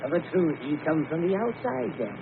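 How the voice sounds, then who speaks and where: A man playing a solemn villager from a hidden valley in an old-time radio drama, talking in a slow, queer, antiquated way. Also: on the high side, very animated, creaky. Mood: solemn, mysterious. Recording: tinny, noisy old radio recording.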